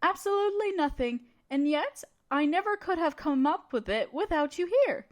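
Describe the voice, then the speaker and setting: A woman's voice: high voice, expressive, normal speed. A woman playing a haughty, scheming evil empress in a scripted audio roleplay, speaking imperiously and theatrically to a listener. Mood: gleeful, plotting.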